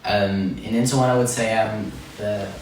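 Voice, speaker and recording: A man's voice: fairly low pitch, steady tone, normal speed, a little hiss or hum. A young man from Thailand, a boy band singer, chatty and upbeat in an interview. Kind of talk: interview answers. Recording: echoey room, mic at a distance.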